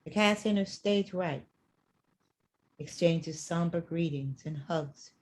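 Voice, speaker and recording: A woman narrates the stage directions of a play from a script. Her voice is lowish and very mellow, and she takes her time over each line. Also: takes it slowly, a little hiss or hum, rough recording.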